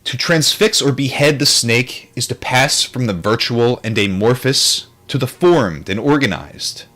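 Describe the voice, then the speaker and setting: A man's voice: medium pitch, lively intonation, measured pace, bright, forceful tone. A man reading aloud from a book in an informal way, sometimes adding casual commentary and stumbling over difficult terms. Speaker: book reader, streamer.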